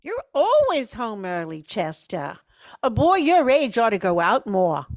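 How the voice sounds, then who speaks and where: A woman's voice: a little high, wildly swooping pitch, measured pace, no room sound, clean studio sound, exaggerated voice. A woman playing a nagging, fussing mother. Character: fussing, encouraging mother of a grown son. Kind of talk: vintage radio play acting.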